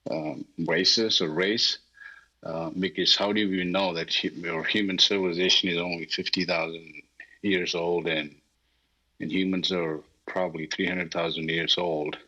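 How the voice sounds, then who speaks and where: Low-voiced hesitant man, Telugu native. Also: bright, forceful tone. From South India. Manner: hesitant, many fillers. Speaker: guest. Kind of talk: casual chat. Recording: dull lo-fi call audio.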